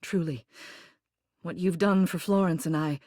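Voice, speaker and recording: A woman's voice: lowish voice, steady tone, a little slow, dark tone, no room sound, clean studio sound. A woman playing a haughty, formal mayor who is secretly tender, in scripted video game dialogue. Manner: prim, formal, officious, clipped commands.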